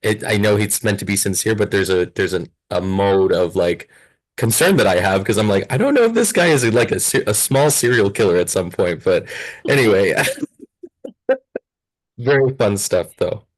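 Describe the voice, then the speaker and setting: Male, deep voice, informal rambling feedback, stop-start delivery. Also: expressive, normal speed, poor audio. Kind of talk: casual feedback chat.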